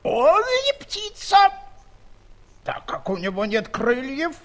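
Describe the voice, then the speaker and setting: An actor in a comic audio play playing a haughty, pedantic court scholar who is pompously sure of himself. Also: male voice, extremely high pitch, wildly swooping pitch, takes it slowly, full character voice.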